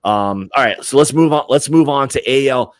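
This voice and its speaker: A man's voice: medium pitch, normal speed, smooth, dry recording. An American man hosting a casual sports prediction livestream, talking informally in slangy, opinionated fashion.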